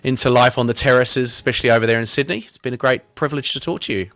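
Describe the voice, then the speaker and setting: A man's voice: mid-range voice, fast talker, a bit bright, dry recording. A man hosting a football fan podcast, chatting casually and upbeat, often saying "lovely" and "mate". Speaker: podcast host. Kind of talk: casual chat.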